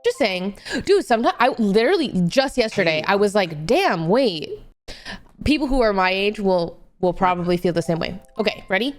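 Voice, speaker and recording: A woman's voice: medium pitch, very animated, moderate pace. A woman in her late twenties rambling casually to livestream viewers in a chatty, slangy, emphatic way.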